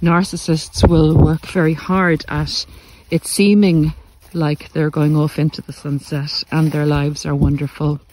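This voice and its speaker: A man with a fairly high voice delivers a reflective monologue as a coach addressing listeners. He speaks earnestly and deliberately, breaking thoughts into short phrases. He is recorded outdoors, with clear background noise of the countryside around him. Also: little pitch movement. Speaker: podcast host and narcissistic abuse coach. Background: country sounds.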